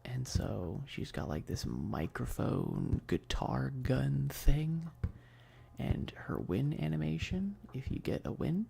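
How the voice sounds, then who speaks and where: A man with a heavily fried, dark and mellow voice talks softly, at times close to a whisper. He rambles at an unhurried pace as a streamer chatting about games, hesitant and slangy, with casual swearing and laid-back enthusiasm. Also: medium pitch, lively intonation, gravelly, slightly rough. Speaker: streamer hosting a live stream.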